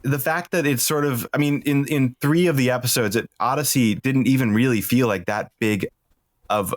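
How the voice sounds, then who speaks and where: A man speaking casually as a podcast guest, explaining ideas about storytelling in a thoughtful, halting way. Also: medium pitch, no room sound, studio quality. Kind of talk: casual discussion of drama and storytelling.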